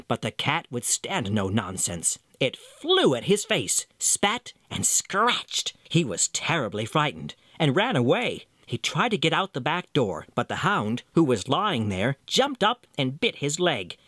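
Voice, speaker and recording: A man reading a scripted fairy tale as storyteller, with expressive delivery. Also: mid-range voice, a little slow, few pauses, no echo, studio quality. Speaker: storyteller, narrator.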